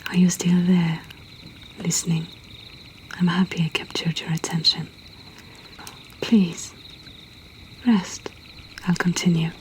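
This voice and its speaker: A woman softly whispering a poetry reading as an intimate storyteller host, breathy and deliberate with long pauses. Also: lowish voice, little pitch movement, slight background noise, poor audio.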